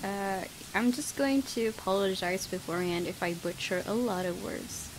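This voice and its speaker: A woman's voice: medium pitch, fairly even intonation, moderate pace, background noise. A woman who is not a native English speaker, hosting a let's play and reading game text aloud. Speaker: gaming video host.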